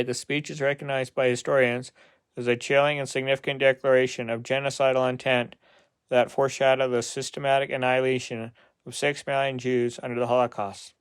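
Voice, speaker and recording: Man reading with flat intonation, smooth and dry. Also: mid-range voice, no room sound. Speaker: presenter. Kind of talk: scripted narration read aloud, informational.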